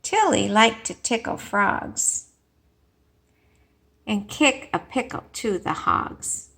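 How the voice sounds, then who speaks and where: A woman teacher reading a storybook aloud and talking to young children, expressive, with questions and pauses for them. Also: mid-range voice, creaky, breathy edge. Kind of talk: animated storybook read-aloud and lesson.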